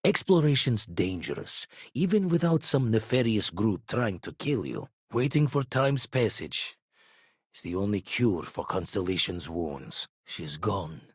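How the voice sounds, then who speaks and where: A seasoned spaceman and former pirate for a video game. His voice is husky and very expressive in pitch, recorded dry and close. He talks in clipped, folksy phrases, gruff and world-weary but loyal. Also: measured pace, no echo, full character voice. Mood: steady, weathered. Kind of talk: video game voice acting, dramatic dialogue.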